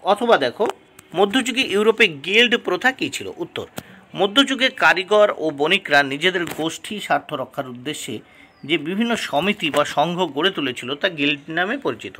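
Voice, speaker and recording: A man's voice: fairly high pitch, animated, normal speed, bright, forceful tone. A man tutoring for history exams, reading questions and model answers aloud in a didactic way, announcing each item and repeating key terms.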